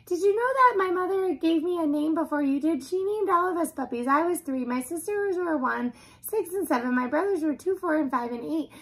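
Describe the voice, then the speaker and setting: Lady doing a super chatty girl dog for a kids storybook, really high n bright, excited, rambles nonstop. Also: brisk, heavily acted, poor audio. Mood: rambling, excited. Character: chatty female talking dog, excitable family pet. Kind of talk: animated children's storybook read-aloud.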